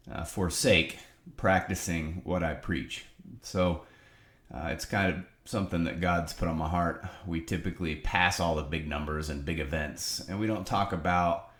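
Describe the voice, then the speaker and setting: Deep-voiced man, nasal with a cold. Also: reverberant. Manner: upbeat, earnest, preacherly emphasis. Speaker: ministry leader giving a video update. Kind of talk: casual spoken update to supporters. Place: home office.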